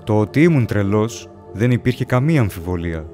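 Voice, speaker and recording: Casting: low-voiced ancient ghost played by a man, bewildered and solemn, theatrical horror audio drama over music. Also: expressive, warm tone, lightly acted. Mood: pensive, bewildered. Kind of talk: dramatic first-person horror story narration.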